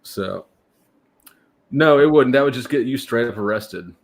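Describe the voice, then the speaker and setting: A man's voice: mid-range voice, expressive, a little slow. A man co-hosting a casual livestream, blunt and teasing, with plenty of swearing. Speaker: livestream co-host.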